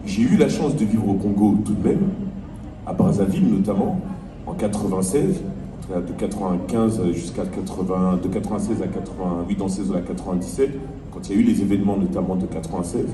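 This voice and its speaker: A fairly low-voiced man makes his case at a panel discussion, earnest and argumentative, often circling back on his own words. He is picked up from a distance in a roomy space, with steady ambient noise underneath. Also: a little fast. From France. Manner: earnest, argumentative, repeats himself while building a point. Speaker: panel speaker, French elected official.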